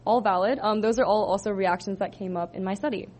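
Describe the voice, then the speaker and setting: A young woman from Southern California presents her senior thesis to a room of listeners. She talks in a loose, casual way, filling pauses with ums and likes. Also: medium pitch, a little mellow. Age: 20s. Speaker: student presenter. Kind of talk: thesis presentation to a live audience.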